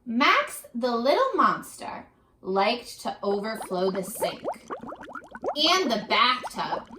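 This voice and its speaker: A woman reading aloud to children as a teacher, addressing them directly with questions in an animated, instructional way. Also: fairly high pitch, slow pace, a little hiss or hum. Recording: roomy, echoing sound.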